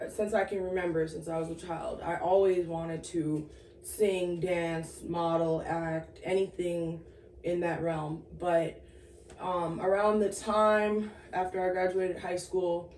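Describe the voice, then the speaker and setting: A woman in her thirties with a lowish voice talks things through as an interview guest, pausing and restarting as she reflects. She sits away from the microphone in an echoey room. A faint hiss runs underneath. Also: flat delivery, darkish tone, far from the mic. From Sudan, raised in the Twin Cities. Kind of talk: casual interview.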